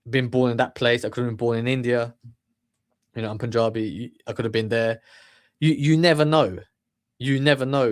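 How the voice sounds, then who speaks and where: A man from the UK gives an off-the-cuff motivational talk at an average pitch. He circles his points with repeated words, fillers and "right?" as he goes. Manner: rambling, many restarts and fillers. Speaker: channel host. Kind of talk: unscripted motivational monologue.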